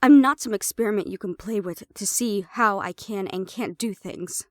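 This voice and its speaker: A woman's voice: medium pitch, normal speed, no echo, natural voice. Sassy siren hybrid woman, close dry sound, acted. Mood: indignant, angry. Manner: sassy, sweary, conversational, slipping into Spanish. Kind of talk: roleplay audio drama acting.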